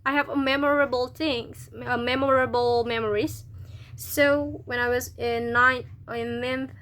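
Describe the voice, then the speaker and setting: Young indonesian-accented woman chatting to camera, kinda high voice, lots of restarts. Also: steady tone, unhurried, fairly bright tone. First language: local Indonesian language. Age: young adult. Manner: chatty, hesitant, with many restarts and searching for words. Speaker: university student. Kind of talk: casual video talk to camera.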